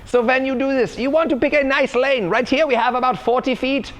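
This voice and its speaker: A man's voice: very high voice, fairly even intonation, brisk, pitched above the performer's own voice. A man playing an overexcited parkour coach with a mock German accent, stretching words in a sing-song way and tagging lines with "yeah?". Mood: bouncy, instructive. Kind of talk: comedic tutorial.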